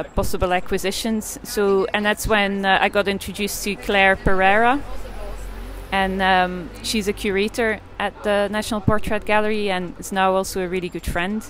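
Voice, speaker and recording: Flat-voiced Belgian-born woman photographer. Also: medium pitch. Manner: hesitant, many fillers and restarts. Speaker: artist and photographer. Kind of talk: casual interview answers.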